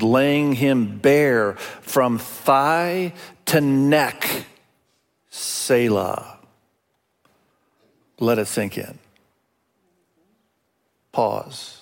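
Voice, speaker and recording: A man from Indonesia, a native Indonesian speaker, preaching a sermon as a pastor in an emphatic way with deliberate pauses and repetition. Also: mid-range voice. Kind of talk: expository sermon. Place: large hall, speaking to a congregation. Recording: reverberant large hall sound.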